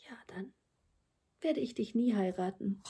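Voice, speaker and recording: A woman reading a storybook as a proud, demanding young princess, deliberate and hushed. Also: mid-range voice, husky. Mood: haughty, deliberate.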